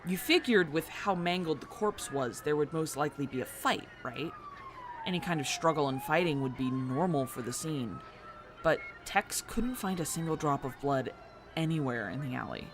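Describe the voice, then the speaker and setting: A man's voice: on the high side, animated, normal speed. A man playing a frank, casual police officer briefing a superior in an audio drama, matter-of-fact and conversational. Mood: sober. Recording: noisy scene, siren ambience behind.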